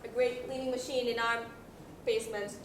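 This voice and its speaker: A woman plays an earnest, lovelorn repairman in a stage comedy, high in pitch and melodramatic. The voice carries from far off in a very echoey, noisy hall. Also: steady tone, fairly quick, close to an everyday voice. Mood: wistful. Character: lovelorn earnest repairman in love with washing machine. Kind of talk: student stage comedy acting.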